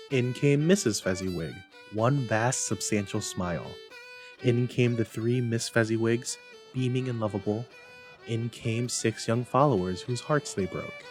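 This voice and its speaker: A man reading a classic story aloud as narrator, in an expressive, measured, dramatic style. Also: mid-range voice. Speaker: narrator, library staff reader.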